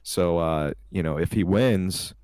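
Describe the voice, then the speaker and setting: Deep-voiced young man, rambling and crude. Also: slow, a little mellow, smooth, dry recording, studio quality. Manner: rambling, crude, loose storytelling with many restarts. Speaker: podcast co-host. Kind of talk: casual chat.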